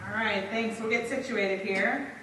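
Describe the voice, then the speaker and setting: A woman giving a public talk as guest speaker, relaxed and conversational. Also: medium pitch, a little slow, very noisy. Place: auditorium. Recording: echoey hall, distant mic.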